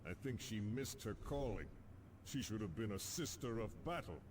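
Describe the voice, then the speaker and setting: An actor playing a hot-headed, scornful space marine warrior in a video game, indignant and emphatic. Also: male voice, lowish voice, expressive, moderate pace, far from the mic, a little hiss or hum, lightly acted. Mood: dry approval. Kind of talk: scripted video game acting.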